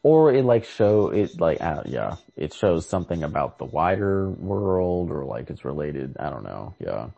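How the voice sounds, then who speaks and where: Very deep, creaky-voiced man, halting. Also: very animated, mellow, highs cut off. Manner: halting, tentative, repeats himself. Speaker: podcast co-host. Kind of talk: casual literary discussion.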